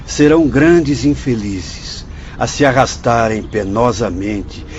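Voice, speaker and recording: A man's voice: mid-range voice, lightly acted. Male, Brazilian accent: actor playing a grave, fatherly hospital guide in a radio drama, formal and instructive, muffled audio with faint noise. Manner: didactic, formal, measured. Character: solemn fatherly spirit doctor guiding visitors through a hospital.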